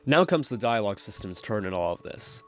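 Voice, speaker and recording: A man's voice: lowish voice, sing-song, fairly quick, soft background music. A man hosting a programming tutorial, narrating step-by-step instructions in a screencast style.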